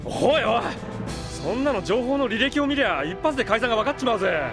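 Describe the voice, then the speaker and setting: An actor playing a cocky, rough-talking spaceship pilot in a Japanese sci-fi audio drama, wry and slangy. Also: male voice, extremely high pitch, sing-song, fast talker, exaggerated voice. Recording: very loud music behind the voice.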